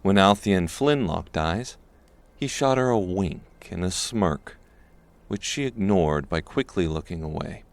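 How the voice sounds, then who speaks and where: A man reading scripted dark fantasy narration as an audiobook narrator. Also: deep voice, animated, a little slow.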